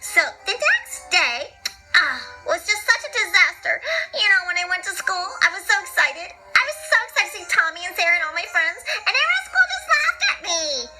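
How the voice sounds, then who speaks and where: A hyper little schoolgirl, super high voice, bouncy and dramatic, giggly storytime, bit of music behind. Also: sing-song, brisk, rough recording. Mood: upset. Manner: gushing, giggly, gasping, theatrical. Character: Camilla, excitable dramatic young schoolgirl who secretly loves lima beans. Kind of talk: animated children's storytelling in character.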